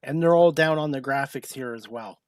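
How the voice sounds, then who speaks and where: A man's voice: mid-range voice, normal speed, clear, resonant tone, no room sound, clean studio sound. A man hosting a talk show, asking questions in a conversational interviewing style.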